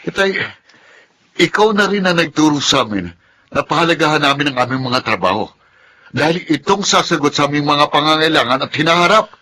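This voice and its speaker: An actor playing an earnest, upset grown son in a Tagalog radio drama, pleading emphatically. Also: male voice, on the high side, animated, fairly quick, bright, forceful tone, a little hiss or hum. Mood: upset, protesting.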